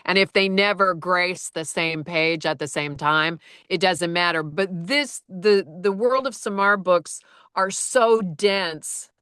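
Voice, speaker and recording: Low-voiced woman narrator, bright and dry. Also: slow, clear, resonant tone, dry recording. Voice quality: low-register voice. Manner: rambling, with many fillers and restarts. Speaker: audiobook narrator. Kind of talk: casual conversation.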